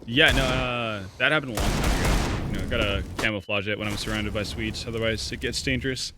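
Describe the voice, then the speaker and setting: Guy casting esports, talks fast, dry jokes, noisy broadcast sound. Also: on the low side, steady tone. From Norway. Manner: dry, joking asides. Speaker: esports caster. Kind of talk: casual banter and commentary.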